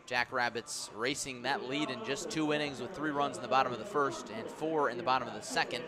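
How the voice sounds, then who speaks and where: A man's voice: steady tone, crowd noise. A man calling baseball play-by-play for radio in a live sports commentary style. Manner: measured between pitches, raised and urgent on plays. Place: stadium press area on second deck.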